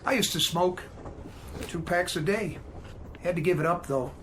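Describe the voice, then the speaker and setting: An American actor playing a folksy, matter-of-fact train conductor in a radio drama, plain-spoken and chatty. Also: male voice, sits high, normal speed, fairly bright tone, a little hiss or hum.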